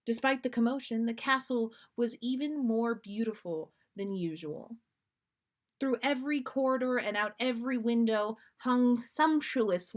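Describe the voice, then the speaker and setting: A woman podcast host reading fan fiction aloud on a livestream in an amused, expressive storytelling way. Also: fairly high pitch.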